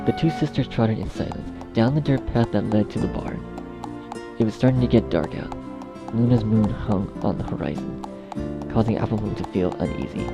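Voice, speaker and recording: Gravelly male narrator over music. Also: fairly low pitch, normal speed. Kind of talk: scripted storybook narration of dark fan fiction.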